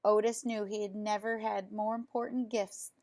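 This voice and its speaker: A woman reading a children's picture book aloud in an expressive way, as if to young listeners. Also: medium pitch, moderate pace. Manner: expressive, explains words simply to children. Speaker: storybook reader.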